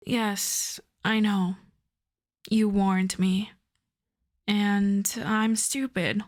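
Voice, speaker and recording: A woman's voice: medium pitch, level tone, darkish tone. A woman playing a wry, flirtatious female supervillain coaxing a favor, in an intimate, conversational ASMR roleplay style. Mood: coaxing, sheepish. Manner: unhurried, teasing, conversational.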